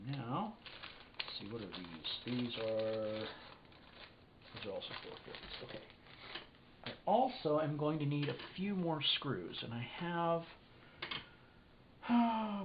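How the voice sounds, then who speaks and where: Man with a cold, sniffly, halting workbench walkthrough, dull lo-fi camera audio, faint hiss. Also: fairly high pitch. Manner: thinking aloud, halting, self-correcting. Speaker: hobbyist electronics builder. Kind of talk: casual hands-on assembly walkthrough. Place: indoor workshop bench. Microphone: camera mic above workbench.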